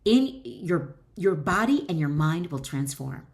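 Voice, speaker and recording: A woman's voice: low-pitched, sing-song, unhurried. A middle-aged woman author reads her own wellness book aloud with chatty asides, encouraging the listener directly.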